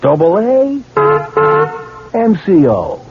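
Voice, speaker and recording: An actor playing a cheerful, salesy repair shop clerk in a radio ad. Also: male voice, high-pitched, huge pitch swings, takes it slowly, faint music behind, heavily acted. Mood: upbeat. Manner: upbeat, salesy. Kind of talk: radio ad sketch. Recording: muffled, distant old radio with hiss.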